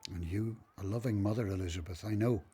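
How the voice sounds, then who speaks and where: An actor playing an elderly temple priest in a radio play, anxious and devoted, with an earnest, tender way of talking. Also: male voice, fairly low pitch, fast, dark tone, slightly rough. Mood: tender, resigned.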